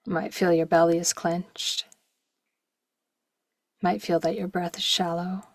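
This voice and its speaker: A woman leading a guided meditation slowly and gently in short, soft phrases, with a breathy voice that at times nears a whisper. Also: on the low side, level tone, no room sound. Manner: slow, gentle, with long pauses and short phrases.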